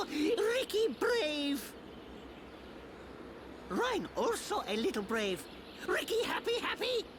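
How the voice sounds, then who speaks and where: A tiny, boastful furry game creature. The voice is squeaky and very high, bouncing widely in pitch. It speaks slowly in childlike broken sentences. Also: sing-song, noisy background, exaggerated voice. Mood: proud, happy. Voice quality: squeaky, cartoonish. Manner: talks of self in third person, broken grammar. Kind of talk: video game cutscene acting.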